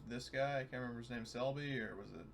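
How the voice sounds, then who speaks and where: A man's voice: mid-range voice, quick pace, gravelly, crowd noise. A man talking casually as a player in a tabletop roleplaying game.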